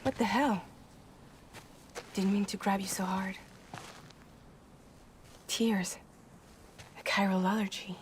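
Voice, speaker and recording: An actress playing a guarded, wry young woman courier in a video game, cool and knowing, often dropping to a hush. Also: mid-range voice, fairly even intonation, slow, pauses often, slight background noise, close to an everyday voice. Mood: wry, probing. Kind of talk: cinematic video game acting.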